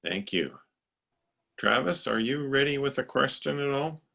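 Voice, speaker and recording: A man moderating a question session, speaking in a slow, measured way. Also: medium pitch, lively intonation, vocal fry, sharp tone. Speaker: event moderator.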